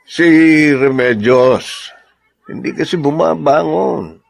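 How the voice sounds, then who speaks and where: An actor playing a humble, kindly, awkward man in a Filipino drama, speaking haltingly. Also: mid-range voice, vocal fry, dry recording. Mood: sheepish, worried. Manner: hesitant, halting. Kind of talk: Filipino radio-style drama acting.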